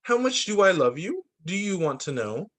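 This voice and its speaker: A man teacher reading picture books aloud to young children, with deliberate, sing-song phrasing. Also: high-pitched, very animated, fairly bright tone.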